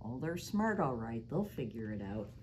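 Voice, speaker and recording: A middle-aged woman reading a picture book aloud to children as a library storytime host, animated and sing-song, now and then dropping to a stage whisper. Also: extremely low pitch, normal speed, background noise. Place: small library room, indoors. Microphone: lapel mic.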